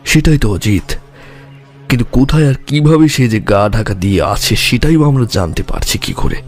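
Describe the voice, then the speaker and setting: Guy doing a sharp intense detective for an audio drama, deliberate, big pitch swings, music underneath. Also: lively intonation, natural voice. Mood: brooding, analytical. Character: sharp, intense male detective reasoning through a murder case. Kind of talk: audio story acting.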